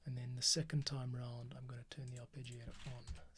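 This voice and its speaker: A man's voice: mid-range voice, level tone, fast, a bit bright, husky. A man thinking aloud as he edits a podcast in a tutorial, muttering to himself and sometimes half-whispering. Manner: muttering to himself, often half-whispered, humming snatches of sound. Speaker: podcast editor giving a behind-the-scenes tutorial. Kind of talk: thinking aloud while editing audio.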